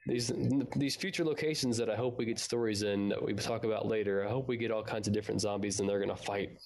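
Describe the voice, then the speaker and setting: A man's voice: on the low side, fairly even intonation, fast talker, a little mellow. A man co-hosting a fan podcast, chatting casually about an audio drama in a laid-back, slangy way.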